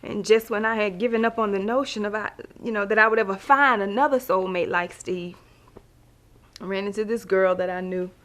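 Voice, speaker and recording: An actress playing a wry, love-struck woman who tells her life story in an expressive, sassy, conversational stage monologue. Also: mid-range voice, fairly quick, lightly acted. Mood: confiding. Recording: music playing behind the voice.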